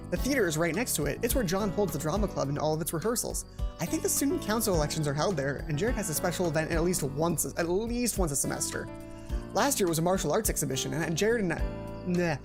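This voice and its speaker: Young man reading aloud over game music. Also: sits high. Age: young adult. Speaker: let's play host. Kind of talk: reading a visual novel aloud with casual commentary. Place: small indoor home room.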